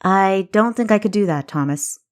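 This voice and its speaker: An eager young female spy , acted by a woman narrator, talks with cheek and quick wit. It is character dialogue from an audiobook. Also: medium pitch, lightly acted. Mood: challenging.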